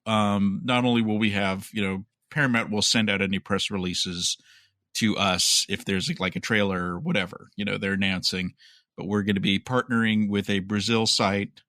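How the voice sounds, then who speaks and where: Male podcast host, low bright voice, dry close sound, casual speculative news chat. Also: little pitch movement, normal speed, no room sound.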